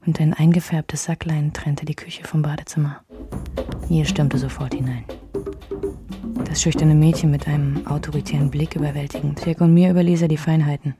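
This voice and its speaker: An actress playing a woman recalling her bohemian youth in an intimate radio memoir, breathy and raspy, at times close to a whisper. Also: deep voice, steady tone, moderate pace, over music. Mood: reflective.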